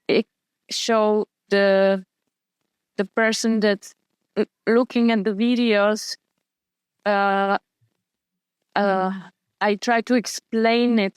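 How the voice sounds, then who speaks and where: Smooth-voiced young woman, hesitant speech. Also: medium pitch, no echo. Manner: hesitant, many restarts and fillers. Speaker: guest, wing foil and kiteboard athlete. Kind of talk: casual interview answers.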